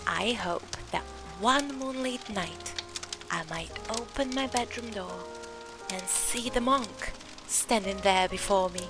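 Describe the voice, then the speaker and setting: An earnest young woman in an audio drama, a believer in the supernatural. She speaks at a middle pitch with dramatic, storytelling emphasis, and music plays clearly behind her. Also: takes it slowly. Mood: eager, eerie.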